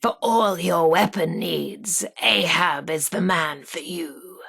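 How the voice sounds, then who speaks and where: Casting: chirpy, coy British female radio co-host, vintage patter, breathy and slightly creaky, unhurried game role. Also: lowish voice, fairly bright tone, full character voice. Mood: chirpy, announcing.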